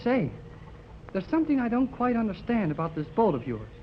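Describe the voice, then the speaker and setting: A young-sounding actor playing an eager, earnest, boyish amateur radio operator in a 1930s radio serial. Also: male voice, high-pitched, brisk. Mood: boyish, bashful. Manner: excitable, earnest, gee-whiz. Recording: dull, noisy 1930s radio recording.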